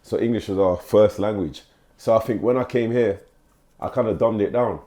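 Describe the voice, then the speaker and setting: Young guy from nigeria hosting a podcast chat, lowish mellow voice, keeps restarting his sentences. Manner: halting, repeats words when starting a sentence. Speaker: podcast host. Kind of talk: casual chat.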